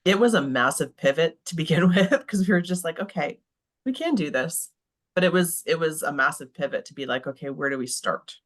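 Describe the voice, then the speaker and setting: A woman's voice: low-pitched, animated, a little fast. A woman guest on a podcast, co-founder of an entertainment company, chiming in with upbeat remarks. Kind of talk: casual interview chat.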